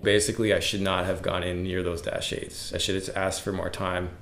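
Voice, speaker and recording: A man's voice: low-pitched, little pitch movement, brisk, fairly bright tone. A man, a pilot and vlog host, talking casually and candidly in a self-critical debrief. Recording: echoey room, clear background noise.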